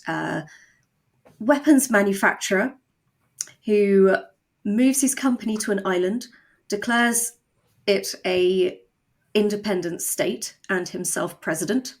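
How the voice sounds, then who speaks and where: A woman's voice: medium pitch, takes it slowly. A woman talking as an interview guest about her creative work, explaining conversationally with wry, dry humour. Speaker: guest, audio drama co-creator.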